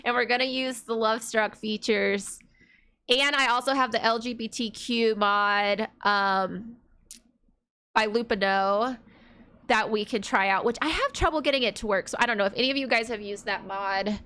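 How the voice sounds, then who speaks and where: A congested woman talks to her stream while she plays a game, and her voice sits high and bright. She rambles along casually with plenty of fillers. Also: moderate pace, clear, resonant tone. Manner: chatty, rambling, many fillers. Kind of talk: casual let's play chat.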